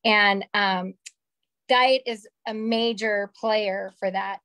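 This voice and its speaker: Slightly raspy woman in her forties. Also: medium pitch, steady tone, takes it slowly, sharp tone, no echo. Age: 40s. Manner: candid, chatty, gestures with her hands. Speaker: call participant. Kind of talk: casual personal testimonial. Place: small room at home. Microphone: webcam on a video call.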